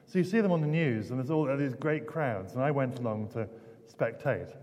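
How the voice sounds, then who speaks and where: A man's voice: medium pitch, normal speed, dull audio. A middle-aged British man preaching a conversational, explanatory sermon as a priest, hesitating and repeating words, his voice strained from losing it. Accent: British English. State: losing his voice, throat clearing. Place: large indoor church, long reverb. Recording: reverberant church hall.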